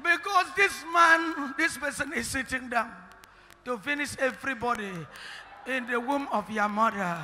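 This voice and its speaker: High, bright Nigerian preacher shouting in a hall. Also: male voice, normal speed, slight background noise. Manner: declaring, shouting and chanting into a handheld mic. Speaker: prophet. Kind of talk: prophetic deliverance preaching. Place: large church hall. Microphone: handheld microphone. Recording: long hall reverb, music behind.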